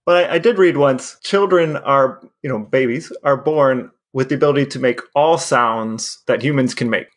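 A man's voice: mid-range voice, normal speed, few pauses. A man with an American Midwestern accent co-hosting a podcast in a casual, conversational style. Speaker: podcast co-host.

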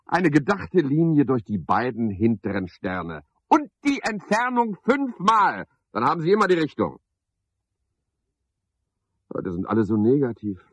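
An actor playing an eccentric, sardonic man in a radio play, rambling to himself and to unseen callers in a husky voice. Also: medium pitch, no room sound, full character voice. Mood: chatty, wry. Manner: sardonic, brooding, musing aloud in fragments.

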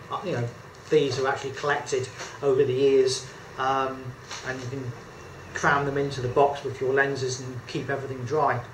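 A man's voice: mid-range voice, slight background noise. A man in his fifties with a British accent, giving a casual photography tutorial at a table, talking chattily with lots of ums and uhs. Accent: British English. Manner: chatty, hesitant with many fillers, good-humoured. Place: indoor room at a table. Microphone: camera mic a few feet away. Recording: echoey room with faint background ambience.

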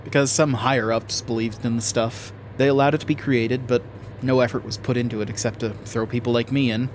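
A man's voice: medium pitch, steady tone, a little fast, slight background noise, close to an everyday voice. A man playing a guarded government agent in a horror audio drama, dry, clipped and matter-of-fact. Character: guarded, dry federal agent of an obscure underfunded division.

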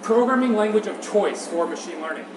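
A man reading out short quick-fire questions as an interviewer. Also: high-pitched, animated. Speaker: interviewer, host. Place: outdoor city walkway. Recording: echoey, noisy outdoor ambience.

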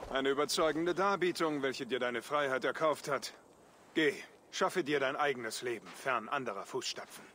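Male actor as stern Viking warrior, commanding, solemn, tense forceful tone, medium pitch, video game drama. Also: normal speed, a little hiss or hum, natural voice. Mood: grave, resolute. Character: stern, commanding Norse warrior, adult man.

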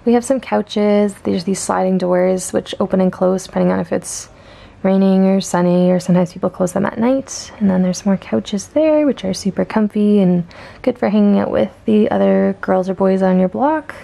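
A woman's voice: mid-range voice, moderate pace, gravelly, dark tone. A young woman from Canada, a student, chatting casually with ums and small laughs while walking through her campus and dorm with a handheld camera. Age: young adult. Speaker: student vlogger. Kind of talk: casual walkthrough narration. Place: outdoors on campus, then indoors in a dorm room.

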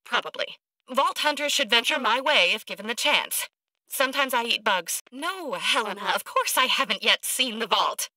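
An actress voicing an eccentric, arrogant woman scientist in a video game, blunt and socially awkward, with clipped, precise, deadpan delivery. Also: on the high side, normal speed, dry recording, rough recording. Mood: haughty, deadpan, then obsessive, earnest.

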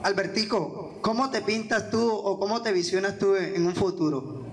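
A man's voice: high voice, normal speed, over music. Young male co-host, announcer-style intro. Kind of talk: program introduction and questions. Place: indoor hall. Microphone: handheld microphone.

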